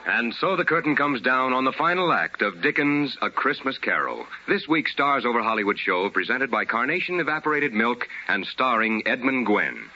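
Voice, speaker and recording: A man working as a polished, formal radio announcer and host, delivering 1950s sponsor pitches like a salesman. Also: lively intonation, normal speed, few pauses, sharp tone. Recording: dull, noisy vintage radio recording.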